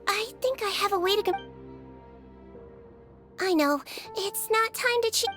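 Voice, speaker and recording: A woman voicing a small, gentle and clever young head nurse in a video game. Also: very high voice, a little slow. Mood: focused explaining. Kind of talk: English video game voice acting. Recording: music playing behind.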